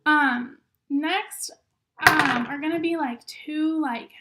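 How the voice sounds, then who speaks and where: High-voiced young woman, slightly hoarse from a cold, casual rambling tutorial delivery. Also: slow pace, very noisy. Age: young adult. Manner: chatty, filler-heavy, lots of "um" and "like". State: hoarse, recovering from illness. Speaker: rodeo queen giving makeup tips.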